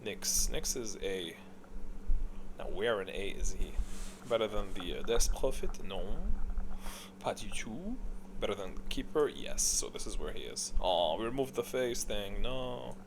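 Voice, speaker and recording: A man's voice: on the low side, animated, measured pace. Man rambling through opinions, noisy recording. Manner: rambling, thinking aloud; blunt, opinionated. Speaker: gaming video creator. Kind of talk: casual opinionated tier-list commentary.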